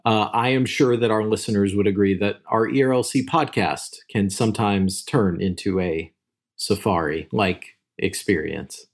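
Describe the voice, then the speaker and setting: A man's voice: on the low side, normal speed. A man with an American accent talking casually as a podcast co-host. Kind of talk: casual chat.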